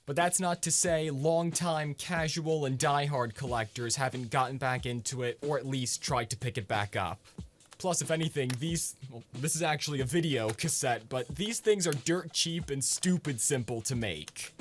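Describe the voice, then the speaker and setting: A man's voice: mid-range voice, moderate pace, faint music behind. A man hosting a scripted explainer vlog in a conversational, punchy way with casual asides. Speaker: video host.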